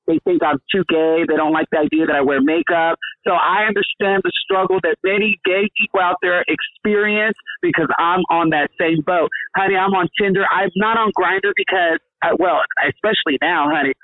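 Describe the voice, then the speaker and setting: A man's voice: high-pitched, moderate pace, few pauses, no echo, phone-quality sound. Flamboyant campy man in his thirties. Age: 30s. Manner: flamboyant, campy, calls people honey. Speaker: podcast guest. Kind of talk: casual interview chat.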